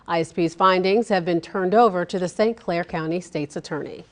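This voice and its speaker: A woman's voice: on the low side, moderate pace. A woman with an American accent reading a scripted local television newscast as anchor. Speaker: news anchor. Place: TV news studio.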